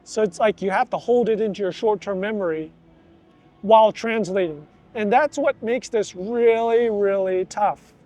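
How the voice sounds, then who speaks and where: Chatty middle-aged man with a high voice. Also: moderate pace. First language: English. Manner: chatty, animated, acts out quoted voices. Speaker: vlogger, student of Thai. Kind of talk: casual vlog talk to camera. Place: outdoors near a festival.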